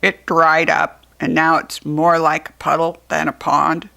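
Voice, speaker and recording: A woman's voice: low-pitched, level tone, measured pace, vocal fry, pushed and bright, voice pushed down, heavily acted. An American woman voicing a small, plain-spoken, glum pond frog in a children's storybook. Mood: glum, matter-of-fact.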